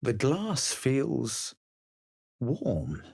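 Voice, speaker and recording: A man playing a haughty, self-assured fantasy adventurer in a video game, speaking in a measured, superior way. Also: mid-range voice, huge pitch swings, creaky, darkish tone, husky, no room sound, studio quality, heavily acted. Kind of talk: video game character voice lines.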